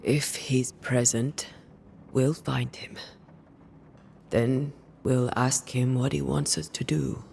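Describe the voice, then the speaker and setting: A woman playing a composed, resolute ghost hunter in a dramatic video game, measured and matter-of-fact. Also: a bass voice, steady tone, creaky, darkish tone, a little hiss or hum, full character voice.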